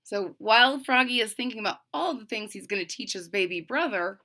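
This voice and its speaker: A woman's voice: on the high side, animated, fairly quick, pushed and bright. A woman reading a children's storybook aloud, expressively, with explanatory asides to young listeners. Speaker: storybook reader.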